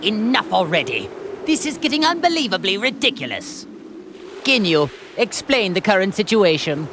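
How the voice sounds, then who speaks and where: A man's voice: sits high, sing-song, normal speed, noisy background. A man playing a cruel, haughty alien tyrant in a video game cutscene, speaking with refined, mockingly polite condescension. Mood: haughty, irritated. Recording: loud music behind the voice.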